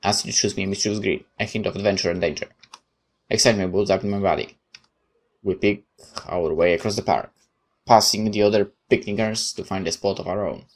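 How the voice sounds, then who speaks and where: A man's voice: fairly low pitch, fairly even intonation, fairly quick. A man reading a visual novel aloud as narration, dropping in casual asides of his own.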